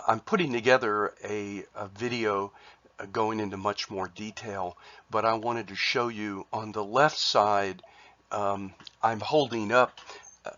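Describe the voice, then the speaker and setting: A man with a cold, sounding congested, presenting his artwork in a halting way with many fillers and restarts. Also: on the low side, a bit bright, highs cut off. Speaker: artist sharing his work. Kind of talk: casual spoken presentation.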